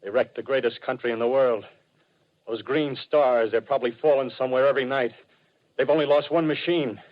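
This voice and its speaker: A man's voice: medium pitch, steady tone, a little fast, lightly acted. Man acting embittered ranting survivor, muffled old radio. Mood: bitter, defeated. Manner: slangy, streetwise, ranting. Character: embittered, scheming militia survivor, feverish with grand plans. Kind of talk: 1930s radio drama acting. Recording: muffled vintage 1930s radio broadcast.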